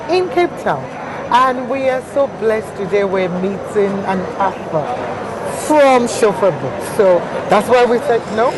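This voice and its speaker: An effusive woman talk show host at a busy mall expo, often saying she is blessed. Clear background noise surrounds her voice. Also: on the high side. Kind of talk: on-site interview at an expo. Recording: noisy background ambience.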